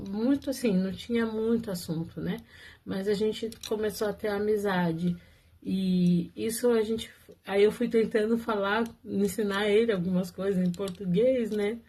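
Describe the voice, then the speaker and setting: A woman's voice: medium pitch, darkish tone. A woman telling viewers her own love story in a casual, chatty way, often laughing and talking straight to them.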